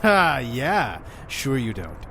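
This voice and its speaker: An actor playing a chatty, pushy innkeeper of a mysterious inn in an audio drama, with an effusive, theatrical manner. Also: male voice, medium pitch, wildly swooping pitch, slow, bright, forceful tone, slight background noise, exaggerated voice. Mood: earnest, mysterious. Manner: effusive, talking over his guest.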